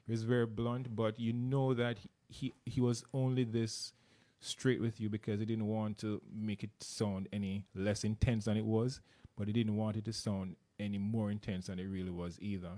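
Jamaican man, middle-aged, interview guest; low mellow voice, sincere and halting. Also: flat delivery. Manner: earnest, repeats words while searching for them. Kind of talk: casual interview.

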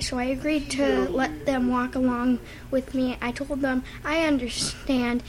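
A woman's voice: sits high, a little hiss or hum, rough recording. A woman narrating a short spooky story.

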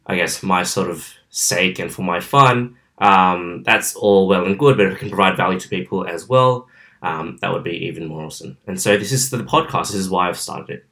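A man's voice: low-pitched, bright, forceful tone. A man of about twenty-one with an Australian accent, chatting on his own podcast from his bedroom in an outgoing way with many fillers. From southwestern Sydney, Australia. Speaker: podcast host. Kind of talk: casual solo podcast monologue. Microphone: camera, filmed.

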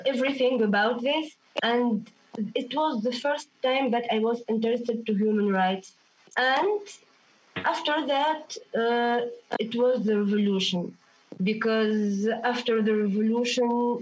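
A young woman from Tunisia answering interview questions, hesitant, restarting and repeating words as she searches for them. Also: a little high. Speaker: guest activist. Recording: dull lo-fi remote call audio.

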